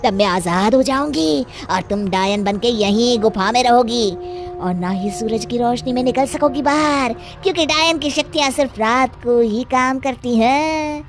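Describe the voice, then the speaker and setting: A woman voicing a menacing, mocking cave witch in an animated story, with drawn-out theatrical delivery, prone to cackling. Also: a little high, lightly acted. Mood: grave, bargaining. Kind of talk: animated Hindi moral horror story. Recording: music under the voice.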